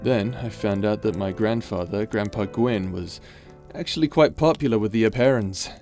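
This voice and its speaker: A man playing a tender, weary space pirate in an intimate ASMR roleplay, speaking softly and hesitantly over music. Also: fairly low pitch, normal speed, lightly acted. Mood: wistful, playful. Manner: soft, hesitant, confiding.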